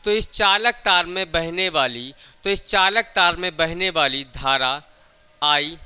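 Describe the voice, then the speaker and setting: A man's voice: a little high, unhurried, pushed and bright, smooth. A man teaching a physics lesson, dictating definitions, repeating key phrases and answering his own questions. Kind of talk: classroom lecture with dictated definitions.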